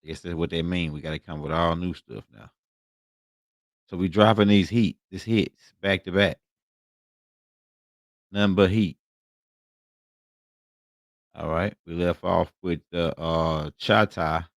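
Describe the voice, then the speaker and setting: Deep-voiced American man lecturing informally. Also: no room sound. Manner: colloquial, repeats phrases and checks in with "right?". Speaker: online lecturer, host. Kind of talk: informal lecture talking through slides.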